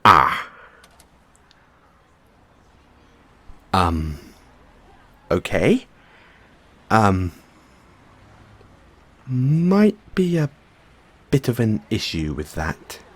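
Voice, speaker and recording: A man playing a nervous, geeky mad scientist boyfriend in an audio roleplay, explaining things haltingly to his partner. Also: on the low side, sing-song, a bit bright, full character voice. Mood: sheepish, awkward. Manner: hesitant, stammering, explaining at length. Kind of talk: audio roleplay acting, addressing the listener as partner.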